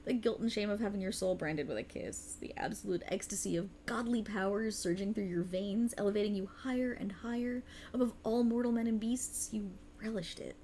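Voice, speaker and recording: A woman's voice: medium pitch, brisk, few pauses, mellow, slight background noise, natural voice. A woman voicing a sultry, cruel and mocking otherworldly warlock patron in a theatrical ASMR roleplay, teasing and condescending. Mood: gloating, savoring.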